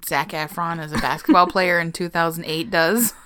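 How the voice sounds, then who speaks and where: A woman's voice: lowish voice, fairly even intonation, moderate pace, a bit bright, clean tone, dry recording, studio quality. A young adult woman chatting casually as a co-host, gushing and laughing, sometimes dropping to a whisper. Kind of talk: casual chat.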